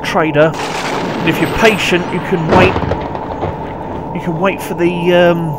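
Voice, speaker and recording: A man's voice: sits high, little pitch movement, slow pace, very noisy. A man giving casual gameplay commentary, informal and thinking aloud as he goes. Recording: voice over loud game music. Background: loud game music.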